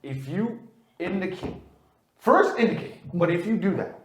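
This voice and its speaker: Animated ranting man in an echoey room. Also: sits high, moderate pace. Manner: animated ranting, repeats himself. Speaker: podcast co-host. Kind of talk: casual podcast chat.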